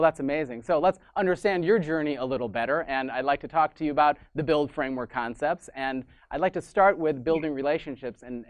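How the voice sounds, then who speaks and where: Male show host, mid pitch, enthusiastic interviewer, dry booth sound. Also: no room sound, lo-fi sound. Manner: enthusiastic, repeats "amazing", some restarts. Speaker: podcast host. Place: Sydney, Australia. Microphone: video call.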